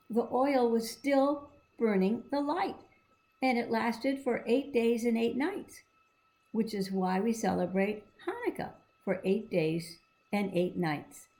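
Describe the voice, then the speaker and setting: A woman's voice: a little high, gravelly, a little mellow. A woman reading and explaining a storybook to children in a simple, encouraging, teacherly manner. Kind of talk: read-aloud with explanatory asides to children.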